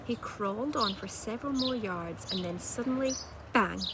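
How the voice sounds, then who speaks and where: A woman narrating a children's storybook like a teacher reading aloud, with measured, dramatic delivery. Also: medium pitch. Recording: clearly noisy home-made recording.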